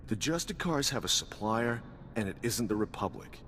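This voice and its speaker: A man's voice: mid-range voice, expressive, moderate pace, a bit bright. An actor playing a wry, suspicious male intelligence agent in a video game, talking in a terse, knowing, dry way. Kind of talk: video game character dialogue. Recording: reverberant hall with background noise.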